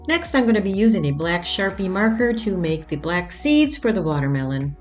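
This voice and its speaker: A woman's voice: mid-range voice, big pitch swings, fairly quick, faint music behind. A woman hosting a craft channel, talking casually step by step through a project in a voice-over, with the odd filler. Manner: plain step-by-step explaining with fillers.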